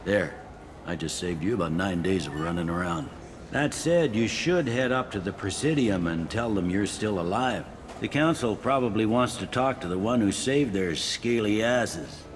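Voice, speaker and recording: A man acts out a pragmatic human police captain in a sci-fi game. His voice is low and his delivery is wry, blunt and matter-of-fact. Clear ambient noise sits behind him. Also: lively intonation, moderate pace, natural voice. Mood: wry, helpful. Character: gruff, pragmatic human male police captain on a space station. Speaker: security captain character. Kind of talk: video game dialogue.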